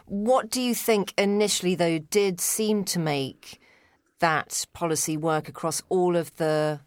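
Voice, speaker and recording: A woman hosting a panel discussion, introducing guests and asking questions in a brisk, friendly manner. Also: fairly low pitch, steady tone, dry recording, studio quality. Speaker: host.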